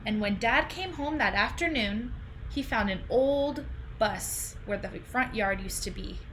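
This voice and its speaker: Woman in her thirties, very lively intonation, unhurried pace, children's read-aloud, noticeable background noise. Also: a little high. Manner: questioning, explaining simply to children. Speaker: teacher reading a picture book aloud. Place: indoors in a home room. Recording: clear background noise, home video call.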